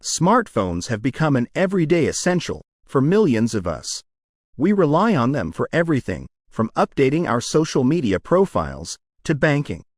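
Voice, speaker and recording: A man reading short academic passages aloud as scripted narration, with measured, deliberate enunciation. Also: mid-range voice, big pitch swings, dry recording, clean studio sound. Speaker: narrator of English test practice passages.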